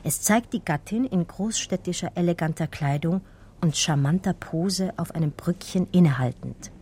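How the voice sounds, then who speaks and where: An actress playing a romantic, daydreaming art historian, narrating her memories in measured, intimate, literary radio drama style. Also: lowish voice, dark tone, slightly rough. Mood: dreamy, reflective.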